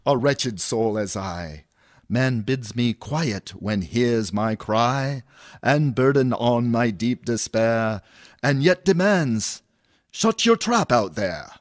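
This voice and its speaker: A man's voice: mid-range voice, expressive, slow pace, dry recording, clean studio sound. A man playing a self-pitying, theatrical has-been stage actor in a comedy audio drama, declaiming like a mock-Shakespearean. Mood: melodramatic lament. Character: pompous, fading old-school stage actor, self-pitying.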